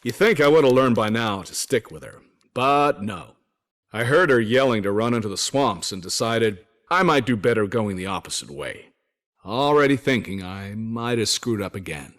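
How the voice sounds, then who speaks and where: Low-voiced, weary, wry survivor. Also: male voice, lively intonation, normal speed, a bit bright. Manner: folksy, wry, grumbling storytelling. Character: weary, wry male soldier-turned-survivor writing letters. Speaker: voice actor reading journal notes. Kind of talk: dramatic first-person letter narration.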